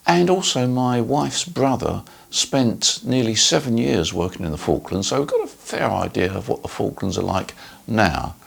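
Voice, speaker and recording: A man's voice: mid-range voice, lively intonation, moderate pace, vocal fry, breathy edge. An older man chatting casually to viewers as a video host, in a conversational, rambling way. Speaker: host of a ham radio dealer's video channel. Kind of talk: casual informal talk to viewers.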